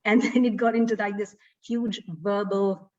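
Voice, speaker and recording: A middle-aged woman from Sri Lanka with a Sri Lankan accent, a guest speaker telling an animated personal story. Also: mid-range voice, measured pace. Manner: animated storytelling with frequent laughs. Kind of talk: storytelling in an online talk. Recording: distant, dull lo-fi audio.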